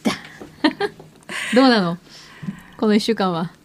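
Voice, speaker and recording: A woman's voice: medium pitch, animated, slow pace, slight background noise. A woman co-hosting a casual radio chat in a giggly, reactive, teasing manner. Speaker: radio show co-host.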